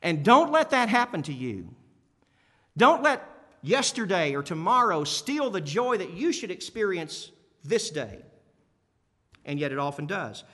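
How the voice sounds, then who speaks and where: A male preacher with a fairly high, very bright and forceful voice delivers a sermon in a hall with long reverb. He talks to his listeners conversationally, leaning on key phrases and repeating them for emphasis. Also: big pitch swings, measured pace. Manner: conversational, rhetorical questions to listeners. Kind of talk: sermon to a congregation.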